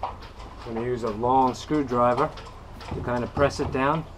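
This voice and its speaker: A man's voice: medium pitch, fairly even intonation, unhurried, background noise. Easygoing man narrating a boat project. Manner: easygoing, chuckles at himself. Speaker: fishing video host. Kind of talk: casual how-to narration. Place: on a docked boat outdoors.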